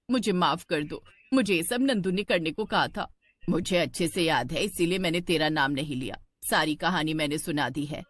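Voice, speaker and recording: A woman's voice: deep voice, no echo. A woman voicing a remorseful village aunt in a cartoon horror story, grave and apologetic as she warns others. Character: remorseful village aunt confessing and warning of doom.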